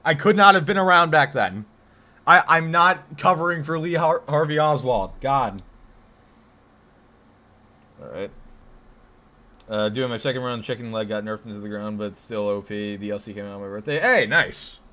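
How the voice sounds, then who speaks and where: Deep-voiced young man chatting on stream. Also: normal speed, long pauses, pushed and bright. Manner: rambling, joking, defensive banter with chat. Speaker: livestream host. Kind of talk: casual live stream chat, reading chat aloud.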